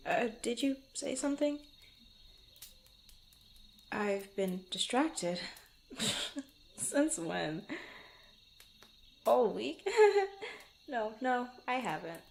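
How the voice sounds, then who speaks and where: Casting: actress as young female rogue adventurer, unhurried, slightly creaky voice, teasing yet easily flustered, intimate fantasy audio roleplay. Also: medium pitch, a little mellow, heavily acted. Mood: flustered evasive. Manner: hesitant, stammering, playful banter. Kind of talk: intimate ASMR roleplay, one-sided conversation.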